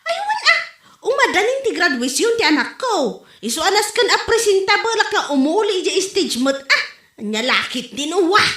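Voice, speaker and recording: Woman acting as chatty vain ilocano mom in a comedy skit, bright swoopy voice, lots of exclaiming. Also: extremely high pitch, big pitch swings, moderate pace, heavily acted. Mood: excited, evasive. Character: chatty vain mother, a wife in comic domestic squabbles. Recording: roomy, echoey home recording.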